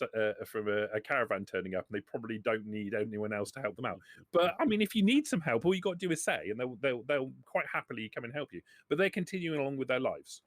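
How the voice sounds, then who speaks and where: A man's voice: mid-range voice, no room sound. A man speaking casually as game master of a tabletop game, explaining and rephrasing as he goes.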